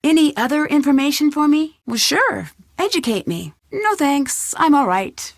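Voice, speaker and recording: A woman's voice: sits high, expressive, moderate pace, no echo, clean studio sound. A woman voicing a composed, inquisitive young female detective in a video game. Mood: curious, wry.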